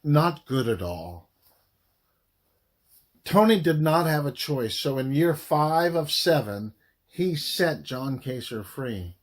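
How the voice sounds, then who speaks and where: A man reading a historical story aloud as its author, in a deliberate, dramatic storytelling manner with pauses. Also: a little high, animated. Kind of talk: audiobook narration of historical fiction.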